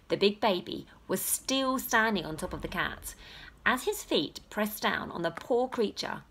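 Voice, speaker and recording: Woman, children's storyteller, slow pace, animated pitch, dramatic pauses. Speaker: storyteller reading aloud to children.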